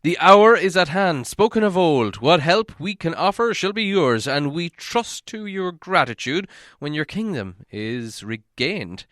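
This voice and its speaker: A pompous old town master , as acted out by a male storyteller, proclaims in grand storybook fashion. His voice is lifted high and rings clear, with formal, declamatory phrasing. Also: lively intonation, measured pace, few pauses, a bit bright, no room sound, studio quality. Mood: grand, proclaiming.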